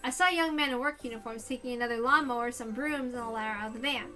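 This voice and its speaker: A woman voicing a teenage boy narrator who broods and then berates himself, her voice hoarse. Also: a little high, fast, faint music behind. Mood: brooding. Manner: swings from musing to shouting at himself. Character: teenage boy narrator, jumpy and self-blaming.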